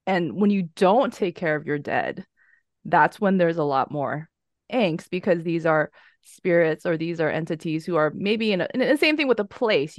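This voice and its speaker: A woman with a low voice talks in a casual podcast roundtable. She hunts for words with restarts, then settles into a steady telling of a story. Her sound is dry and close, with no room echo. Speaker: podcast guest, horror writer.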